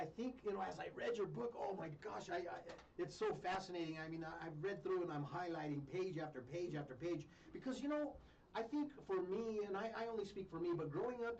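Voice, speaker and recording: A man's voice: high-pitched, a little mellow. Reflective male host, heard from a distance. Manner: reflective, gives many encouraging murmurs. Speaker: radio show host. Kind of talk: interview host conversation. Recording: distant, dull mic with faint hiss.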